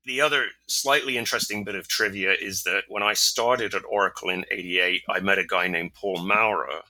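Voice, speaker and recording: A man's voice: deep voice, steady tone, moderate pace, bright, forceful tone, rough recording. A man in his sixties with an English accent, talking enthusiastically as an interview guest. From England. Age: 60s. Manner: chatty and enthusiastic. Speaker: software executive guest. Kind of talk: casual interview talk.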